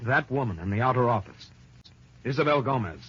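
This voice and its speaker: A man's voice: mid-range voice, lively intonation, a little fast, fairly bright tone, slightly rough. A man playing an earnest, anguished young accountant in an old radio detective drama, dramatic and insistent. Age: young adult. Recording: dull, muffled old radio recording.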